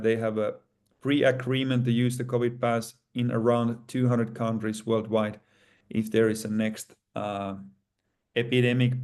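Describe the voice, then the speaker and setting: A man with a Finnish accent, a native Finnish speaker, talking as a guest in an interview in a halting way with many fillers. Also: medium pitch, darkish tone, clear, resonant tone. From Finland. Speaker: plaintiff in a lawsuit, guest. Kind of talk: casual interview talk.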